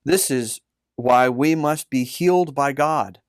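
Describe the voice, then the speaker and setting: A man's voice: mid-range voice, takes it slowly, clean tone, no room sound, lightly acted. Man reading as a kindly storytelling uncle, dry close sound. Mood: earnest. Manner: patient, explanatory. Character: kindly uncle telling Bible history to children.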